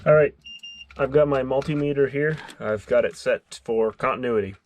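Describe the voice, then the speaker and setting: A man's voice: mid-range voice, moderate pace, darkish tone, a little hiss or hum. A man narrating a hands-on DIY tutorial as its host, explaining step by step and thinking aloud as he works. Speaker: DIY car electronics video host.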